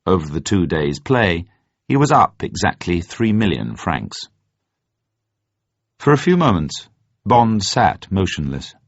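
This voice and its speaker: A man reading a spy novel aloud as an audiobook narrator, in measured, deliberate phrasing. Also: sits low, dry recording. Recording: dull, muffled, dry close recording.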